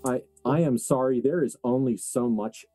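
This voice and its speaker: A man acting as game master, narrating a tabletop horror roleplaying game in deliberate, halting phrases. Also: medium pitch, mellow, no echo, soft background music.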